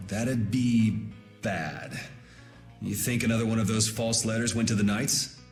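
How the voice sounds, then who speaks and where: A cool young rebel from a video game. He speaks in a lowish voice with a dry, sardonic, offhand delivery, over soft music. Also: fairly even intonation, measured pace. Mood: dry, challenging. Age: young adult. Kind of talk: dramatic video game voice acting. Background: background music.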